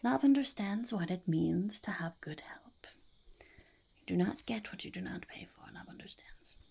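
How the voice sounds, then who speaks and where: Casting: woman to play sly goblin boss for ASMR roleplay, whispery with very heavy vocal fry, pompous yet halting, slight background noise. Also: on the low side, expressive, darkish tone. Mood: reassuring, wheedling. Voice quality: whispery, breathy, creaky. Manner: halting, speaks of self in third person, mock-formal. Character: scheming, self-important goblin interviewing would-be henchmen.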